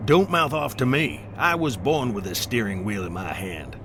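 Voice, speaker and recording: An actor playing a plain-spoken, tough middle-aged truck driver in an audio drama. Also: male voice, mid-range voice, normal speed, lightly acted. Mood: threatening, greedy. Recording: clear vehicle noise behind.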